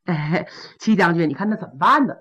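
A woman's voice: lively intonation, fairly bright tone, husky, muffled recording. A woman voicing a sly, evasive young man, an official's son, who whines and makes excuses. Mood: whining. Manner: whining, excuse-making, high and fast. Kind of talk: traditional Chinese storytelling.

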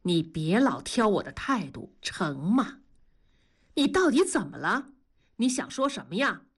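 A woman's voice: mid-range voice, very animated, unhurried, slightly rough. Woman putting on an exasperated, defensive husband. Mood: testy, exasperated. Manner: reasoning, then testy.

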